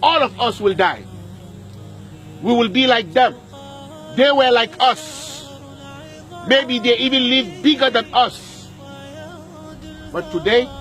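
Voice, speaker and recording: A man's voice: high-pitched, a bit bright. A man preaching a sermon at a graveyard, repeating lines and putting questions to his listeners, with Arabic verses in between. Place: outdoor graveyard.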